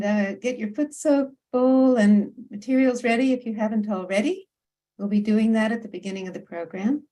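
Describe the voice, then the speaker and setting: A woman's voice: a little high, a little mellow. A woman hosting an online meditation program, speaking to the group over a video call with welcomes and announcements.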